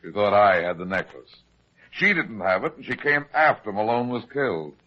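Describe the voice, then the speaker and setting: An actor playing a calm, shrewd private detective in an old-time radio drama, with a dry, terse, unhurried way of talking. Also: male voice, lowish voice. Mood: matter-of-fact. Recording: muffled lo-fi old radio recording.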